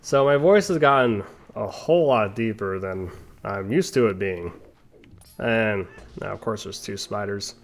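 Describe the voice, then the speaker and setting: A man's voice: lowish voice, a little slow. A man giving casual, rambling commentary over a video game playthrough, chatting with playful asides. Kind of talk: casual gameplay commentary with tangents.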